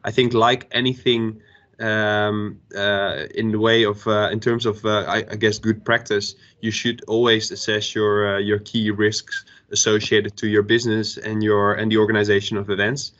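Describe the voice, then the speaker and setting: A man in his thirties answers as a panelist over a video call, stumbling through fillers and restarts. His voice is low and very flat, and the laptop audio is dull and lo-fi. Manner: halting, many fillers and restarts. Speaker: stadium operations panelist. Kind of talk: panel discussion. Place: home room, indoors. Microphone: laptop webcam video call.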